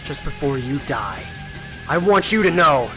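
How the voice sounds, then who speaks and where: A man acting an intense, sardonic, vengeful but principled superhero in an animated futuristic drama. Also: fairly high pitch, unhurried, over music.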